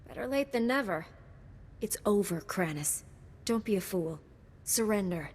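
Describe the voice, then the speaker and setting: A woman's voice: mid-range voice, animated, measured pace, breathy edge. A woman playing a resolute young heroic knight in a video game, confident and direct. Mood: stern.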